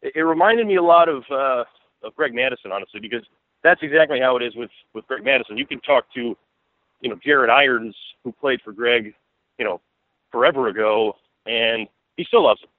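A man's voice: mid-range voice, a little fast, no echo. An American man giving conversational sports analysis as a guest, rambling and repeating himself for emphasis. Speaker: sports beat writer, interview guest. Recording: tinny narrow phone line.

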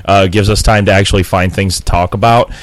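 A man's voice: low-pitched, fairly even intonation, brisk. A man with a nasal voice co-hosting a casual podcast, rambling with restarts and laughing easily into a mixer-board mic. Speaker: podcast co-host. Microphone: podcast mic through a mixer board.

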